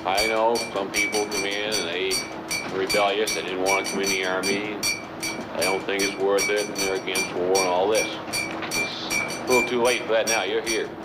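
A man's voice: on the low side, flat delivery, moderate pace, very noisy. A man with an American accent talking bluntly and informally as a military instructor addressing recruits.

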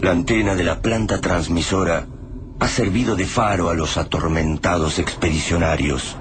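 An actor narrating a radio drama as a grave, literary storyteller with an Argentine accent. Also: male voice, deep voice, steady tone, normal speed, lightly acted. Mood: grave, suspenseful. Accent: Argentine Spanish. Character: grave storyteller of a doomed survival tale. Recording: noisy background ambience.

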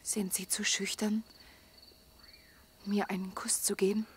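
Woman as a soft, wistful young woman confiding. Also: mid-range voice, little pitch movement, unhurried, close to an everyday voice. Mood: tender, intimate. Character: lonely, vulnerable young woman, wistful and trusting. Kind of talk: German radio drama acting. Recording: faint night insect noise behind. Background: crickets.

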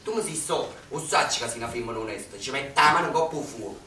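A man's voice: mid-range voice, animated, fast, sharp tone, slight background noise. An actor with a Neapolitan accent playing a cautious man worried about what people say, in a radio stage play. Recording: roomy distant stage sound with music.

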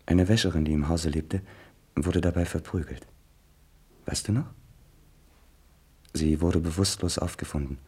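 A man playing an anguished young writer in a stage drama, intense, moving between hushed pleading and outbursts. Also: low voice, fairly even intonation, measured pace, pauses often, husky, heavily acted.

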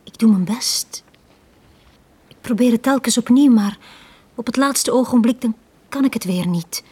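A Flemish actress playing a lonely, downtrodden young bar girl in a radio drama, speaking in a hushed, halting, confiding way. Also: fairly high pitch. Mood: downcast. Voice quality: breathy, hushed.

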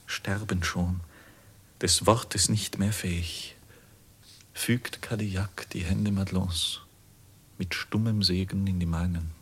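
An actor playing a despairing, bitter young craftsman accused of murder, in a theatrical 1950s radio play style, swinging between hushed and fervent. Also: low-pitched, breathy edge, heavily acted. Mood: grave, resigned.

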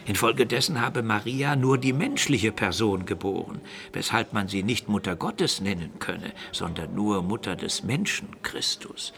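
Gravelly, frail old bishop. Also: male voice, on the low side, a bit bright, slight background noise. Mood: patient, instructive. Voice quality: gravelly, breathy, often dropping to a whisper. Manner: measured, didactic, coughing. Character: elderly sickly medieval bishop, learned, wry, fatherly. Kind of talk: radio play acting.

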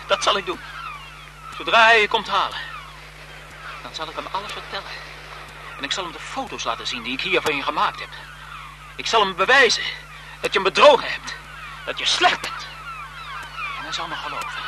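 An actor playing a lovesick, desperate photographer in a melodramatic, impassioned radio thriller style. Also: male voice, fairly high pitch. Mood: bitter, threatening. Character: lovesick, desperate male photographer turning bitter and vengeful. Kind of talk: 1970s Dutch radio thriller acting. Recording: noisy 1970s radio drama broadcast.

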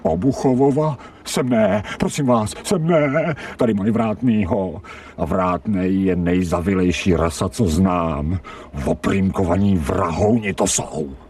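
Deep-voiced, fawning stray dog. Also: male voice, a little mellow, husky, far from the mic. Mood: wary, grumbling. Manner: slangy, servile to his master, cynical in asides. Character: fawning, streetwise, sardonic stray male dog with human thoughts. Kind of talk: radio drama acting.

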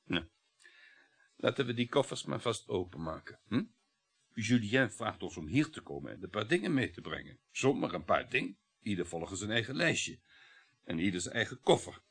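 A middle-aged husband in a radio drama, domineering and uneasy. His pitch moves in wide, lively swings as he needles and mocks. He talks on and on, with a sardonic edge. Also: moderate pace, husky, full character voice. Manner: mocking, talkative, sardonic chuckles. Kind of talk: Dutch radio play acting.

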